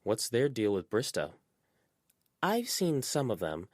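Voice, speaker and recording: A man's voice: medium pitch, huge pitch swings, slow pace, a little mellow, no echo, clean studio sound, pitched above the performer's own voice, exaggerated voice. Man doing a chatty blunt teen girl. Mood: gossipy. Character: sharp-tongued talkative teenage girl.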